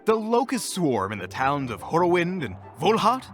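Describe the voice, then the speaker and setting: An actor playing a vain, easily flustered evil sorcerer in a fantasy audio drama, pompous and grandiose with dramatic pauses. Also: male voice, fairly high pitch, huge pitch swings, a bit bright, faint music behind. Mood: flustered, indignant. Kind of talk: fantasy audio roleplay acting.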